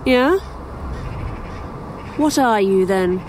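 Defiant dead woman writer, British accent, horror audio drama, wry, weary sarcasm, mid-range pitch, clear background noise. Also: expressive, very slow, a little mellow. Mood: resigned, wry.

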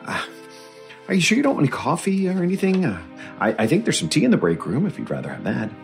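A man's voice: on the high side, wildly swooping pitch, quick pace, vocal fry, faint music behind, heavily acted. A man playing an earnest government researcher in an audio drama, hesitant, fumbling and apologetic in a natural style. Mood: flustered, polite.

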